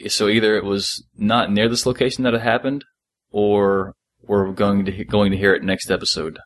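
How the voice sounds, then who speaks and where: Low-voiced man chatting on a podcast, dry sound. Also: fairly even intonation, normal speed, dry recording. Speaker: podcast co-host. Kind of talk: casual episode discussion.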